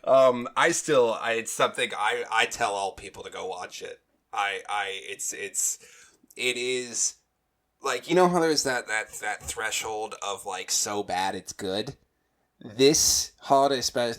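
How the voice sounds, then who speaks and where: A man's voice: on the low side, a bit bright. A man co-hosting a casual fan podcast, talking emphatically and often repeating himself. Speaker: podcast co-host.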